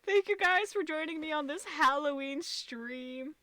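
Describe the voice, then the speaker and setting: A woman's voice: high-pitched, measured pace, fairly bright tone, no room sound. A woman with an American accent hosting a casual, goofy livestream in a playful, theatrical way. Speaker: streamer, host.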